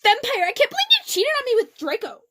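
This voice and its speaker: A woman voicing an angry, tearful teenage goth girl in an overwrought, shouty mock-dramatic reading. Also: very high-pitched, huge pitch swings, quick pace, voice pushed up, heavily acted. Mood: furious.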